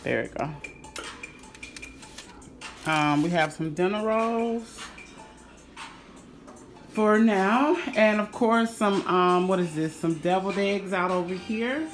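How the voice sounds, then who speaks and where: A woman's voice: medium pitch, slow, long pauses, faint music behind. A woman vlogger casually walking through her holiday dishes at home, filming on a handheld phone. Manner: relaxed, chatty, pointing out dishes one by one. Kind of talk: casual cook-with-me vlog tour. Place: home kitchen and dining room. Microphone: handheld phone camera.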